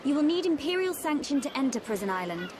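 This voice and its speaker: A woman's voice: high-pitched, big pitch swings, fast, noisy background. An actress playing a poised, dutiful young noblewoman in a video game, formal and reserved. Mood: matter-of-fact. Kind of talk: video game cutscene acting.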